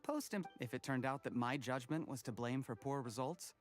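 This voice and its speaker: A man's voice: medium pitch, moderate pace, soft background music, lightly acted. An actor playing a polite young male candidate in a game, answering questions in a composed, slightly nervous way. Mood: earnest.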